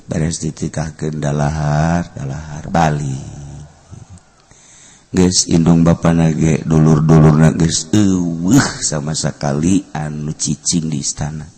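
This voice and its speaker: A man with a Sundanese accent, telling a comic folk tale in a sermon, drawing out his words and jumping between soft asides and loud bursts. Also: very deep voice, level tone, husky. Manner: slow, drawn-out comic storytelling with sudden loud bursts and mock voices. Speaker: Islamic preacher. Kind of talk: humorous storytelling sermon.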